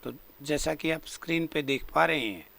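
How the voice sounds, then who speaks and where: A man's voice: a little high, moderate pace, vocal fry, pushed and bright. A man with a North Indian accent giving a lesson as an English teacher, reading phrases out and explaining them one by one in an instructive way. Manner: instructive, repeats and glosses phrase by phrase.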